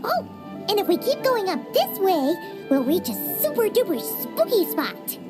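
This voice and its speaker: An actress voicing a tiny, chirpy female companion creature in a video game, cheeky and excitable. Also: measured pace, full character voice. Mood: excited. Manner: excitable, cheeky, talks in a high singsong. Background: game soundtrack music.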